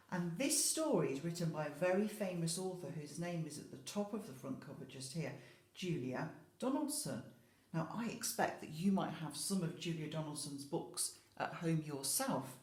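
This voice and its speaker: Slow, lively woman teacher telling a story. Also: sits low. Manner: explains words simply, addresses children directly. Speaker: infant school teacher. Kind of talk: storytelling to young children. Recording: roomy, echoey sound.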